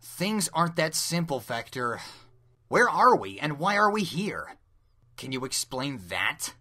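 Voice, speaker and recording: A man voicing a calm, serious young ninja chameleon for an amateur cartoon demo, speaking in a restrained, deliberate, earnest way. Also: a little high, slight background noise, heavily acted. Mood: questioning.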